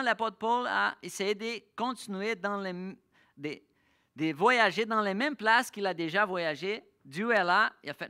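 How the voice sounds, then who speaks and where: A preacher delivering a sermon in a bright, forceful voice. The speech is halting, with frequent restarts and searching pauses. Passages of scripture are read out slowly. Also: mid-range voice. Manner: slows down to read scripture aloud. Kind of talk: sermon with Bible reading. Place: church.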